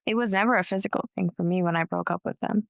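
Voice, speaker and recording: A young woman co-hosting a casual chat, reflective and agreeable, slowing down when she explains her point. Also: fairly low pitch, gravelly, no echo, studio quality.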